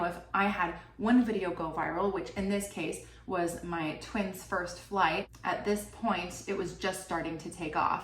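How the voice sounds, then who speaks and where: A young woman vlogging casually about her channel earnings, chatty and full of "like", sounding stuffed up from a cold. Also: lowish voice. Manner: chatty, peppered with "like" and "you know". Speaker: YouTuber sharing channel earnings. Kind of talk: casual talking-head vlog. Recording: roomy, slightly distant mic, faint background hiss.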